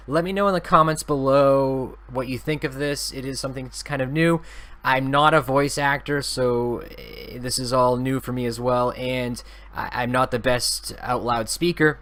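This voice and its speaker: A man's voice: mid-range voice, fairly bright tone. Informal man hosting a visual novel read-along. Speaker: gaming channel host. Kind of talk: visual novel read-aloud and casual commentary.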